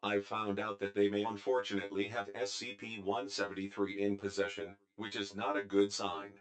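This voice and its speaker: A man's voice: lowish voice, monotone, normal speed, clear, resonant tone, lightly acted. A man playing a sober, worried secret-organisation council member, in dramatic meeting dialogue. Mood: grave.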